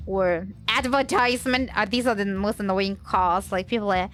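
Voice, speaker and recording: A woman's voice: medium pitch, steady tone, moderate pace, fairly bright tone, faint music behind. A woman livestreamer with a slight cold, chatting casually in a hesitant, rambling way.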